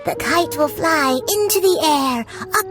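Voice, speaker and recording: A kindly old granny from a video game, speaking slowly in a fairly high, swooping voice. She is a little weary and folksy, and music plays behind her. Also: lively intonation. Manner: unhurried, gently folksy storytelling. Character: kindly, weary elderly granny who sells kites. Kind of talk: scripted video game character acting.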